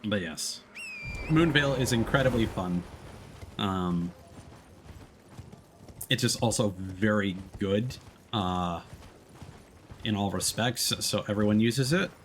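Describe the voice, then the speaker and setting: A man's voice: lowish voice, slow pace, long pauses, a little hiss or hum. A man giving casual live commentary on a video game as he chats with his viewers.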